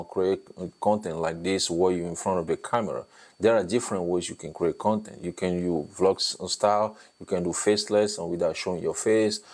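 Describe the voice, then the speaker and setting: A man with a very deep, low voice and a non-native English accent, speaking to camera like a coach for beginners. He talks in a loose, encouraging way, repeating his points and checking in with a frequent "okay?" between plenty of fillers. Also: darkish tone. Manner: encouraging, repetitive, many fillers and "okay?" tags. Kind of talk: talking-head tutorial to camera.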